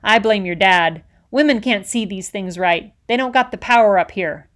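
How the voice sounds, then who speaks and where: A woman reading an audiobook, voicing a blustering, bigoted middle-aged male island policeman who lectures in rough, folksy grammar. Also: mid-range voice, normal speed, natural voice. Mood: scornful.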